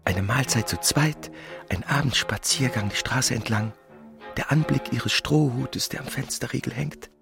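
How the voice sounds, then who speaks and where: Doting husband, slightly creaky voice. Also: male voice, mid-range voice, unhurried, music in the background. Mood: tender reverie. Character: doting newlywed young husband, tender and besotted. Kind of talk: German literary radio drama acting.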